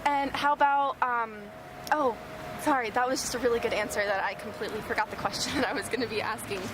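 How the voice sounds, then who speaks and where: A young woman with a somewhat high voice, conducting a street interview and feeling her way through each question with fillers and restarts. Traffic noise from an outdoor city street is clearly heard behind her. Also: steady tone, quick pace. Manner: hesitant, many fillers and restarts.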